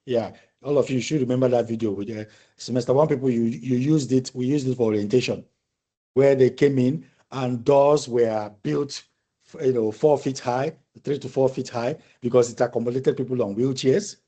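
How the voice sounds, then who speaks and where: A man leading a university class discussion with a warm, dark voice at a middle pitch. He talks thoughtfully, often repeating and restarting phrases as he works through an idea. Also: steady tone, normal speed. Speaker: university lecturer. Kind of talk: conversational online class discussion.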